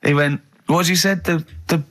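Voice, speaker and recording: Jokey radio man, slightly high voice. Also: fairly even intonation, normal speed. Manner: interjecting, joking, repeats himself. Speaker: radio show host. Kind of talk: casual comic chat. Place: radio studio.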